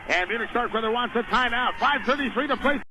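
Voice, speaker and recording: High-pitched man calling basketball on noisy radio. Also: fast talker. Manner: clipped, urgent running phrases. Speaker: radio play-by-play announcer. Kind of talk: live basketball play-by-play commentary. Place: live at a basketball game. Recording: noisy radio broadcast of a game.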